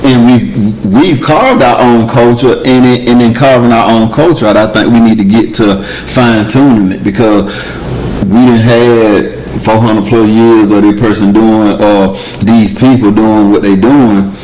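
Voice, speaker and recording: A man, talking in an animated, emphatic way in a casual discussion. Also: a little slow, a little hiss or hum, rough recording. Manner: animated, emphatic, rising pitch when arguing.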